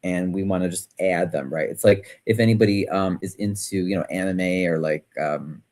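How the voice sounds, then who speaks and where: Male host, rambling casual talker, lower voice, reacts a lot. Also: moderate pace, darkish tone. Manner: rambling, reactive, many fillers. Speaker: podcast host. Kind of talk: casual chat.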